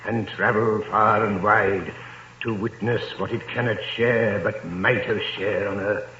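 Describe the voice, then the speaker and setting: A grave ghost who intones each word slowly and solemnly. The voice booms back from an echoey space. It comes through a dull, faintly noisy 1930s radio broadcast. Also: male voice, steady tone, sharp tone. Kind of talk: 1930s radio drama acting.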